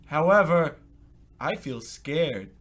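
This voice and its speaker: A man's voice: a little high, expressive, takes it slowly, fairly bright tone, exaggerated voice. A man playing a timid, agreeable young boy in a comedic radio play, dithering and stiltedly formal.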